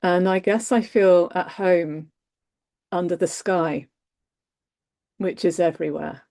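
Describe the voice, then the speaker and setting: A woman with a croaky voice, gently and wryly sharing in an online circle. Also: lowish voice, takes it slowly. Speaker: participant.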